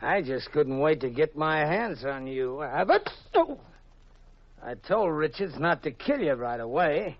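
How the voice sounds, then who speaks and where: Wanted: cruel racketeer, raised gravelly voice with big swoops, taunting, old radio crime drama. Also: male voice, fairly high pitch, sing-song, unhurried, no echo, heavily acted. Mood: gloating, cruel. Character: sadistic male gambler gangster, gloating tough guy. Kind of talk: 1940s-50s radio mystery acting. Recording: muffled vintage radio recording.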